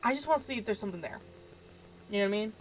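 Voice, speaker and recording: A woman's voice: mid-range voice, animated, rapid-fire, poor audio. Stuffed-up woman chatting through a game. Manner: chatty, rambling, trails off with fillers; talks quietly so as not to wake others. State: stuffed up from allergies. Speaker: gaming video host. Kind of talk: casual let's-play commentary. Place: room at home at night. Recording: static from a faulty mic.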